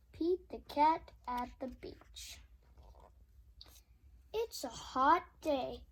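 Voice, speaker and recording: A woman's voice: sits high, poor audio. A young girl reading a children's picture book aloud expressively, acting out the sound effects with emphasis. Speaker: storybook reader.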